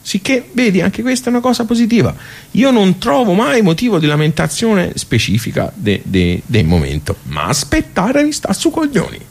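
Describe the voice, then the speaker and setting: An actor playing a nostalgic, grumbling old social-club regular in a radio soliloquy, with a Tuscan accent and a colloquial, halting, rambling delivery full of rhetorical asides. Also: male voice, sits high, huge pitch swings, exaggerated voice. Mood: animated grumbling. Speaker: stage actor. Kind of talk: theatrical radio soliloquy, conversational.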